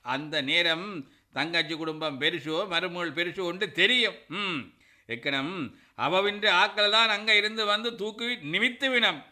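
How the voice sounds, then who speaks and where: An actor with a Sri Lankan Tamil accent plays a bitter middle-aged brother-in-law in a radio drama, scornful, forceful and threatening. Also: male voice, sits high, normal speed. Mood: angry.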